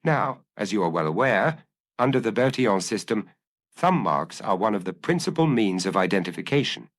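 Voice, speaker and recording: A man's voice: on the low side, big pitch swings, normal speed. Man voicing a dry, hard, commanding ex-inspector. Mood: relentless, precise. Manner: dry, hard, firm and precise. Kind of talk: audiobook character acting.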